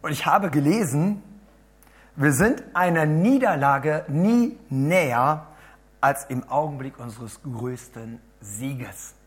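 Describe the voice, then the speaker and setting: A man with a hoarse voice preaches to a gathered group, talking in a chatty way and turning to them with questions. His delivery jumps between loud, emphatic bursts and quiet, murmured asides. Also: mid-range voice. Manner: chatty, asks the audience questions. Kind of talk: lively sermon to a congregation.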